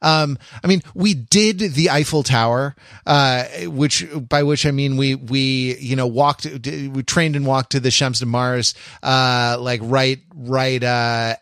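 A man's voice: medium pitch, steady tone, takes it slowly, hardly any pauses, a bit bright, no room sound, clean studio sound. A man co-hosting a casual podcast, wry and mock-erudite, circling his point with hesitations and restarts. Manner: hesitant, digressive, many restarts. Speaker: podcast co-host.

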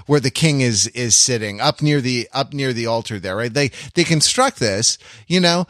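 A man's voice: medium pitch, animated, moderate pace, fairly bright tone, no echo, clean studio sound. A man co-hosting a casual comic panel chat, telling stories in a wry, rambling, digressive way. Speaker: podcast co-host.

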